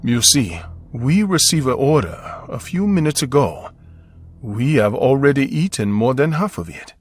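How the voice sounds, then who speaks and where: An actor playing a tired, protective widowed father who speaks in a calm, measured way. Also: mid-range voice. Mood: puzzled. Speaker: narrator's father. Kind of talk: story narration dialogue.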